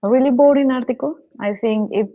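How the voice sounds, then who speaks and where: A woman's voice: sits high, fairly quick, narrow, tinny audio. A woman with a Colombian accent answering interview questions, speaking hesitantly with many fillers and restarts. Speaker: guest, physicist and data scientist.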